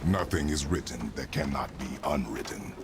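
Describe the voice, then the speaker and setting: Extremely deep, gravelly stern warrior. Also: male voice, normal speed, noisy background. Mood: stern scorn. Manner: terse, scornful. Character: stern, gruff, imposing male warrior, impatient. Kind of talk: video game dialogue.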